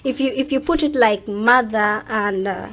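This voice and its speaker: Interview guest: woman, high-pitched, Ugandan accent, emphatic and outspoken conversational delivery. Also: moderate pace, fairly bright tone, slight background noise. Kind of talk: animated casual interview talk.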